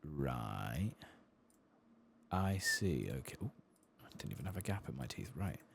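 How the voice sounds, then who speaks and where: Very deep, gravelly soft-spoken man. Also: big pitch swings, measured pace, a little hiss or hum. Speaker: video game streamer. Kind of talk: soft-spoken ASMR gameplay commentary.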